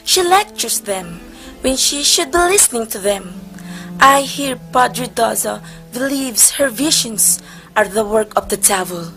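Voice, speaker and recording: A woman's voice: on the high side, animated, unhurried, a bit bright, faint music behind. Lady as a snooty suspicious nun in a stage play, takes her time, very up and down. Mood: scornful. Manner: scornful, emphatic stage delivery. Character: haughty, suspicious nun sneering at Teresa's arrogance. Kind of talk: amateur stage play acting.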